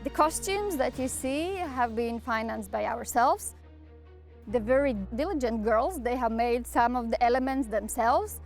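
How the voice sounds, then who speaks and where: A woman's voice: fairly high pitch, a little slow, music in the background. A woman from Latvia, a dance group member, explaining its story in an interview with a few fillers.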